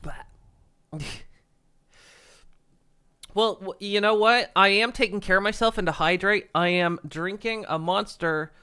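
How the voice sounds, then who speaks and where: A man's voice: high voice, fairly even intonation, a little slow, long pauses, pushed and bright, clear, resonant tone. A congested, stuffy-nosed man chatting casually to viewers as a livestreamer, rambling with frequent chuckles and restarts. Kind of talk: casual stream chat. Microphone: desk microphone.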